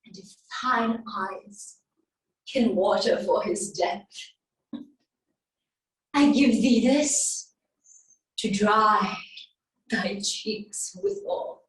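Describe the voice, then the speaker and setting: A woman playing a vengeful, mocking warrior queen in a classical Shakespearean stage monologue, with theatrical, taunting, drawn-out delivery. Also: medium pitch. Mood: cruel, gloating. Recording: echoey room, distant microphone.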